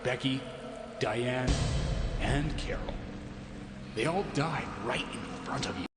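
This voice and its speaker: Man acting a slow, echoing deranged game villain. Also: medium pitch, lightly acted. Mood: grandiose rage. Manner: grandiose, ranting, drawn-out menacing delivery. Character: deranged power-obsessed male villain claiming divinity. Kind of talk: dramatic video game cutscene acting. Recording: echoey hall reverb under music.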